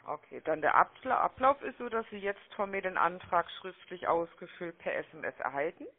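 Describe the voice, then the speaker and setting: A woman making a phone sales pitch, chatty and persuasive, often checking for agreement, heard over a telephone line. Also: very animated, moderate pace. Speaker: telemarketing caller. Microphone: telephone call.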